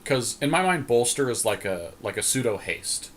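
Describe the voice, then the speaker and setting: A man co-hosting a podcast, casually commentating on a card game draft and reasoning out loud. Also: mid-range voice, big pitch swings, moderate pace. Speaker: podcast co-host.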